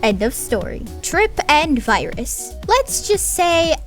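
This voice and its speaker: High-voiced blunt woman reviewer. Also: big pitch swings, slow pace, faint music behind. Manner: blunt, profane, sarcastic. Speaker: anime reviewer. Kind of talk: casual video review.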